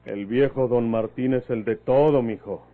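An actor playing a plain-spoken, fatherly older country man with a rural Mexican accent in a vintage radio drama, telling things in a folksy, unhurried way. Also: mid-range voice, close to an everyday voice. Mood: firm, explaining. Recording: muffled phone-like old radio recording.

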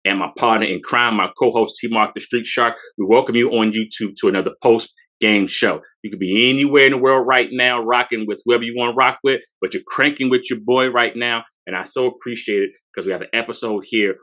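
A man's voice: medium pitch, fairly even intonation, a little fast, hardly any pauses, bright, forceful tone. A man in his forties, a sneaker podcast co-host, talks up a shoe from his collection in a hyped, slangy way, often repeating phrases, in a small room. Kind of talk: casual sneaker showcase. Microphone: fixed camera at close range.

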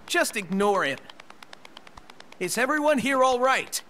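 An actor playing a cocky young cartoon hedgehog hero with a breezy, sarcastic, confident delivery for a game cutscene. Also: male voice, very high-pitched, big pitch swings, measured pace, a crowd in the background, exaggerated voice. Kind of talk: animated game cutscene acting. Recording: music under AI-converted voice.

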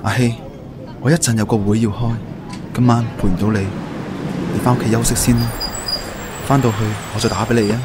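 A man's voice: mid-range voice, fairly even intonation, fairly quick, pauses often, noisy background, close to an everyday voice. A man playing an earnest, emotional young man in a radio drama, speaking with a Hong Kong Cantonese accent. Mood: resigned. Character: earnest, regretful young man confronting his love.

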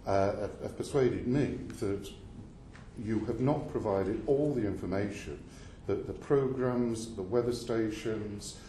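A man's voice: fairly low pitch, measured pace, dark tone. A man questioning a witness at a parliamentary committee hearing, pressing and insistent, stumbling and restarting his sentences. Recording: roomy hall sound with faint noise.

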